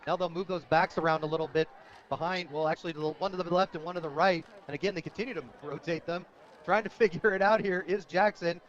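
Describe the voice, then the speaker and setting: American male play-by-play caller, high voice, excitable, rapid delivery, slightly dull lo-fi broadcast sound. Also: a little hiss or hum. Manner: excitable on big plays. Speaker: play-by-play radio announcer. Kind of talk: live high school football commentary. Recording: dull, lo-fi outdoor radio broadcast.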